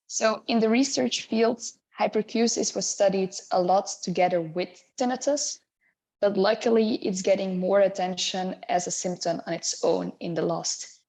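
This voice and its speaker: A woman in her thirties, Belgian-accented, presents a clinical webinar in English. Her voice sits in a middle register with a noticeable gravelly rasp. She explains things carefully, pausing here and there to find the right word. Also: medium pitch, darkish tone, low-quality recording. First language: not English. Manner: measured, explanatory, occasional hesitations.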